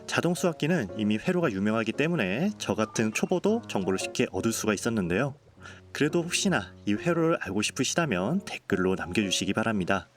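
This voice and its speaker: Calm man narrating over bright music. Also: fairly low pitch, fairly quick. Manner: calm, polite explanatory tone. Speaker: game building vlogger. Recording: voice-over with clear background music.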